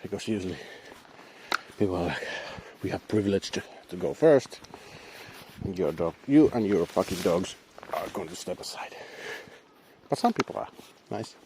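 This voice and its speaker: A low-voiced man with a Finnish accent talks his way along a swamp boardwalk, his English halting and dotted with wry, self-deprecating asides. Faint outdoor sounds hum behind the handheld camera audio. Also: animated. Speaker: vlogger walking his dogs. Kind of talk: casual walk-and-talk narration. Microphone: handheld camera mic.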